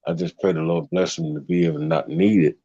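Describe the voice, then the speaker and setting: A man with a deep bass voice hosting a casual livestream chat, given to preachy rants. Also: steady tone, fast, dark tone, highs cut off. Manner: ranting, preachy asides. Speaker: stream host.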